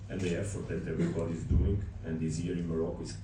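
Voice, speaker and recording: Deep-voiced man with a Portuguese accent. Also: level tone. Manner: measured, halting, with many fillers. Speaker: football coach. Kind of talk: press conference answers. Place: press conference room. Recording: echoey room, distant mic, background noise.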